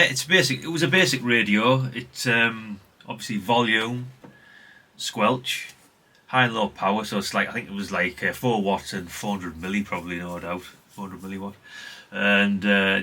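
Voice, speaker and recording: Low-pitched, bright-voiced man rambling casually. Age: middle-aged. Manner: rambling, conversational, repeats catchphrases. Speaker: hobbyist vlogger. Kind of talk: unscripted casual chat, showing an item.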